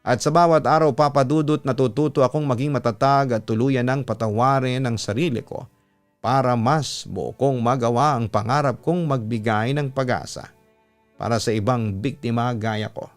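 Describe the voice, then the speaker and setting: Male Filipino radio host, mid-pitched, earnest storyteller delivery with music underneath. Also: fairly even intonation, normal speed. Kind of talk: narrating a listener's letter, then advice to the sender. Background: instrumental music.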